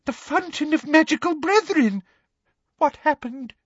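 A man's voice: very high-pitched, lively intonation, measured pace, no echo, dull audio, voice pushed up. A man voicing a flustered older government minister in an audiobook, stammering, blustering and gibbering with panic.

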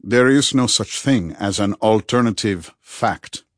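Man acting measured, earnest male therapist, slightly low. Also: dry recording, clean studio sound, natural voice. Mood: firm, earnest. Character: Rudy, thoughtful, probing male therapist and devoted friend. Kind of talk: audiobook character dialogue.

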